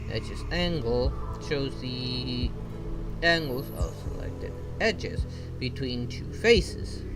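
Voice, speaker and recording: A man whose first language is not English, reading software documentation aloud slowly and haltingly and breaking off for casual asides. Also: medium pitch. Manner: slow halting reading with self-interrupting asides. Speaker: vtuber streamer. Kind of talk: reading software docs aloud while learning.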